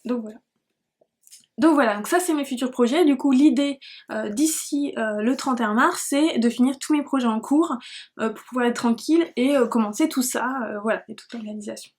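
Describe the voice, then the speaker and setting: A woman's voice: a little high, a little fast, darkish tone. A woman hosting a knitting podcast, chatting casually to viewers in a scattered, self-deprecating way and often losing her thread. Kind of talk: casual rambling vlog talk to viewers.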